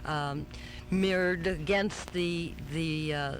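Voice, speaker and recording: A man's voice: a little high, fairly even intonation, slow pace, noisy background. A man co-hosting a radio show, chatting casually in an effusive, wordy way. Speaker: radio co-host. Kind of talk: casual chat.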